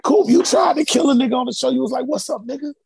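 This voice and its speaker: A man's voice: extremely high pitch, fast talker, vocal fry, darkish tone, a little hiss or hum. A man co-hosting a casual chat show, teasing and swearing freely. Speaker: regular co-host. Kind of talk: casual banter.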